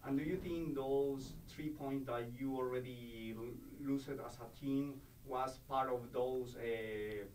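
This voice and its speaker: A male radio reporter asking wordy, halting questions at a press conference. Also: mid-range voice, steady tone, darkish tone, far from the mic, a little hiss or hum. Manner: halting, wordy questions in non-native phrasing. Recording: roomy sound from off mic.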